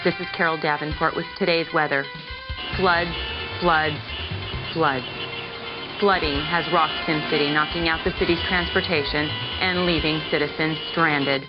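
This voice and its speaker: An actress playing a polished, flippant American TV news anchor in a mock game newscast, with a deadpan delivery. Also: female voice, low-pitched, moderate pace, lightly acted. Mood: deadpan, brisk. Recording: dull lo-fi audio under music. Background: music.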